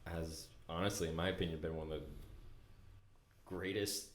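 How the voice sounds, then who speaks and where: A man talking in a casual podcast conversation as a company CEO guest, earnest and reflective, with frequent pauses and restarts. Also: low voice, fairly even intonation, echoey room, background noise.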